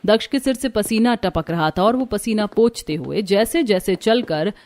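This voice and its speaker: A woman's voice: mid-range voice, animated, normal speed, darkish tone. A woman reading scripted storytelling narration for a cartoon.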